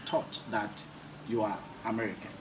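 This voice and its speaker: A man's voice: fairly low pitch, steady tone, normal speed. A man with a Ghanaian accent hosting an interview, asking emphatic, repetitive questions. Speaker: host, interviewer. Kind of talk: on-camera interview for an online talk show. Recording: clearly noisy ambient background.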